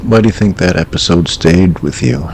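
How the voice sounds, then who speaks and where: A man acting as a calm, cryptic late-night radio host in an audio drama, measured, terse and quietly ominous. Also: low-pitched, creaky, slight background noise, low-quality recording. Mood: calm, probing.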